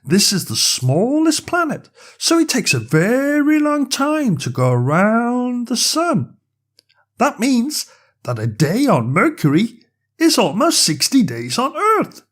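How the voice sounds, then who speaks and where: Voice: medium pitch, wildly swooping pitch, takes it slowly, voice pushed up, heavily acted. A storyteller voicing a small, chubby, friendly alien creature who eagerly explains things as a planetary guide in a children's bedtime story. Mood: eager, explaining.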